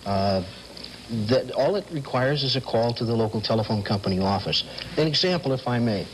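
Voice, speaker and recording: A man's voice: medium pitch, lively intonation, brisk. A middle-aged American man explaining as an interview guest in a television studio, on slightly noisy vintage TV sound. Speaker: telephone company specialist, guest. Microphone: lapel mic.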